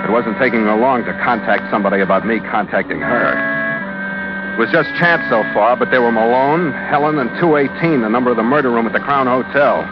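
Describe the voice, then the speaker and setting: A man's voice: medium pitch, lightly acted. A man with an American accent playing a tough, suspicious ship's skipper, narrating a mystery in a terse, wisecracking radio-drama style. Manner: terse, wisecracking, hard-bitten. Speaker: lead actor and narrator. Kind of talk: hardboiled 1940s-50s American radio acting and narration. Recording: muffled old radio recording with music behind.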